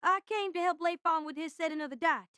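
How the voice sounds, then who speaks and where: Boyish young mechanic, extremely high voice, easygoing and helpful, dry audio drama sound; played by a woman. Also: quick pace, bright, forceful tone, no echo. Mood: sheepish, helpful. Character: friendly, easygoing young male weapons technician.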